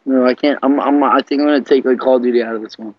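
A man's voice: medium pitch, steady tone, very fast, no echo. A young man chatting casually and slangily on an internet group call, muttering asides. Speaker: prank caller. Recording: slightly muffled computer call audio.

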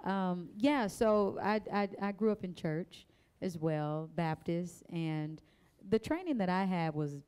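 A young woman from Kansas City, Kansas, speaking on a panel in a friendly, relaxed way, into a handheld mic. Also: lowish voice, slow, clean tone. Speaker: panel guest singer.

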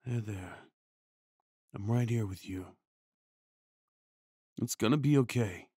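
A man performing an ASMR comfort roleplay as a tender, worried boyfriend, speaking in a hushed, soothing way with sighs and shushing. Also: fairly low pitch, slow, husky, no echo, studio quality.

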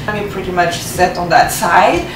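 A woman's voice: lowish voice, unhurried. Chatty young french-speaking woman from cameroon, roomy echo, sounds far from the mic. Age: young adult. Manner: chatty, lots of fillers, laughs at herself. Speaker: fashion vlogger. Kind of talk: casual chat to camera. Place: apartment living room, indoors. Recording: roomy echo, distant mic, background noise.

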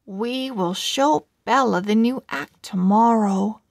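A woman's voice: fairly high pitch, slow, gravelly, a little mellow, dry recording, studio quality, heavily acted. A woman voicing an eager, put-upon little male pug from a children's storybook, earnest and hesitant.

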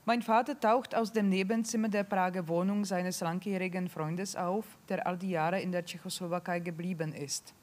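A woman in her forties reading a literary text aloud in a calm, measured way into a handheld microphone on a library stage. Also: on the low side. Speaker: reader at a literary panel. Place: stage in a large library hall.

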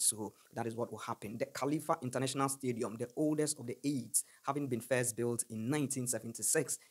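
A man's voice: mid-range voice, husky. A young man, a sports journalist, reading a scripted news report into a studio mic with an emphatic, direct manner. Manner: emphatic, addresses viewers directly. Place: small studio, green-screen backdrop. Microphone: studio mic on stand in front of mouth.

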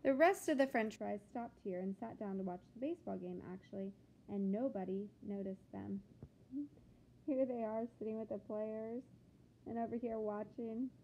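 A woman reading a picture book aloud to children like a teacher, with expressive, deliberate phrasing and questions to the listeners. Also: a little high. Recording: phone-like thin sound with background noise.

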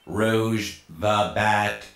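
An actor playing a huge, stern, obedient combat robot in a video game, with a clipped mechanical delivery and a metallic filter. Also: male voice, fairly low pitch, steady tone, drawn-out words, a bit bright, reverberant, slight background noise. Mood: emotionless. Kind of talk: video game cutscene acting.

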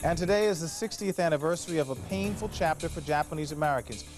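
A man reading news headlines as anchor with a brisk, formal delivery. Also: a little high, faint music behind.

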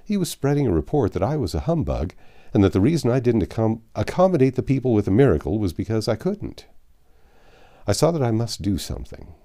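An older man reading a classic novel aloud as a narrator, in measured storytelling phrases with dramatic pauses. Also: lowish voice, sing-song, dark tone. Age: 50s or 60s. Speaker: audiobook narrator. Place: small home library, indoors.

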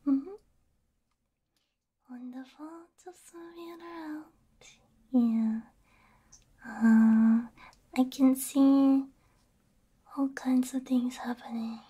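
A woman whispering softly in an ASMR roleplay, gentle and reassuring, speaking close into a binaural microphone. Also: sits high, very slow, pauses often. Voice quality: breathy, mostly whispered. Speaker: ASMR roleplay creator playing a robot repair technician.

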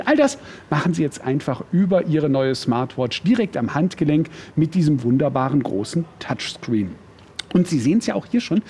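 A man's voice: fairly high pitch, a little mellow, breathy edge. A middle-aged man presenting a product on shopping TV, enthusiastic and chatty, with playful asides. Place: TV studio.

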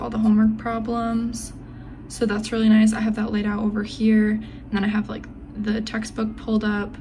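A woman's voice: medium pitch, darkish tone, a little hiss or hum. A woman in her twenties chatting casually through a day-in-the-life vlog at home, full of "like" fillers. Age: 20s. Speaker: vlogger. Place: home apartment, indoors.

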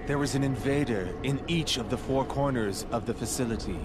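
A man's voice: medium pitch, brisk, close to an everyday voice. Game saboteur male actor: mid-range voice, formal wording, loyal chaos follower, noisy ambience around him. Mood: guarded. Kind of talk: scripted video game dialogue.

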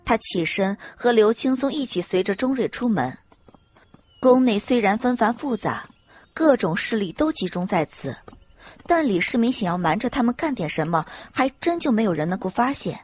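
Husky, expressive woman narrator. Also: on the high side, normal speed. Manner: expressive storytelling. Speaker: audiobook narrator. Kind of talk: scripted dramatic narration.

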